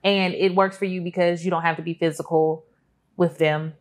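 A woman's voice: fairly low pitch, flat delivery, normal speed. An American woman in her thirties hosting a casual interview in a studio, teasing and laughing often. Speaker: interview show host. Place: studio set with lights.

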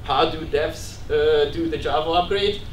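A man's voice: on the high side, measured pace. A man with a Hungarian accent speaks at a tech meetup in a large office room. His delivery is casual and self-deprecating, full of restarts. He sounds distant from the mic, with room echo and faint background noise. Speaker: conference speaker.

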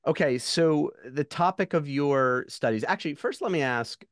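A man's voice: a little high, expressive, normal speed, dry recording, studio quality. A man in his thirties hosting a podcast interview over a video call, asking curious, friendly questions. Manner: friendly, curious, brief acknowledgments. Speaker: podcast host. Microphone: video call webcam.

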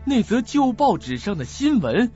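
A man's voice: very high-pitched, very animated, normal speed, warm tone. A man narrating a suspense story in a dramatic, scripted style. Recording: muffled lo-fi audio with music.

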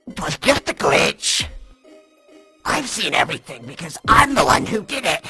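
A woman voicing a gleeful, menacing glitch villain in an animated fan video, gloating and taunting in a theatrical monologue. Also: lowish voice, unhurried, creaky, exaggerated voice. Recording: music behind.

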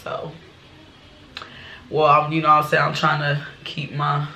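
Young woman, stuffed up, gravelly low fry, roomy bedroom echo, laptop webcam sound. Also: monotone, a little slow, pushed and bright, a little hiss or hum. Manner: chatty, repeats "y'all" and "you know". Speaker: reaction channel host. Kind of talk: casual chat to viewers. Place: small bedroom, indoors. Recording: echoey small room.

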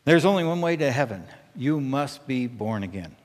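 A middle-aged American man delivers a conversational sermon from a church stage. His voice sits at an average pitch, and he speaks earnestly and with emphasis. Long reverb from the hall trails his words. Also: animated, moderate pace. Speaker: pastor. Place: church stage at a pulpit, indoors.

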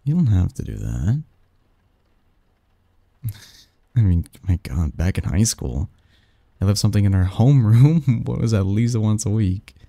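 A man playing a snarky young research assistant who fondly teases a friend in a halting, wordy, intimate ASMR roleplay monologue. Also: lowish voice, sing-song, vocal fry, warm tone, dry recording, full character voice. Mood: teasing, fond. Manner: halting, sighing, teasing, wordy.

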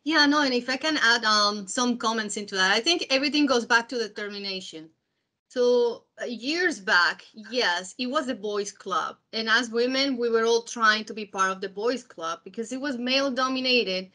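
Colombian-accented woman, bright and earnest. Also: fairly high pitch, normal speed. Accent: Colombian Spanish. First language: Spanish. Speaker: panelist, quality executive. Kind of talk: panel discussion on a call.

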